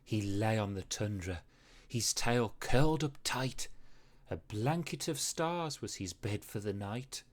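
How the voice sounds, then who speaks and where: A storybook narrator reads rhyming verse for children. The delivery is unhurried and full of dramatic swings, with expressive phrasing throughout. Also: mid-range voice. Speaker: storybook reader. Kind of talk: children's read-aloud narration in rhyme.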